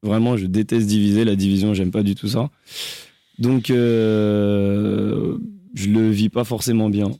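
Low gravelly young man, halting. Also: level tone, dark tone, no echo, studio quality. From France. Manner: halting, hesitant, many restarts. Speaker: singer, interview guest. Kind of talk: interview answers.

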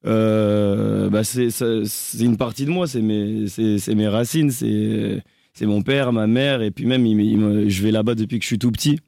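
A young man from France speaks as a singer being interviewed, pausing and restarting often as he searches for words. His low voice has a gravelly edge and a dark, mellow tone. The sound is dry, with no room around it. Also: steady tone, dry recording. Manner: halting, hesitant, many restarts. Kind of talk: interview answers.

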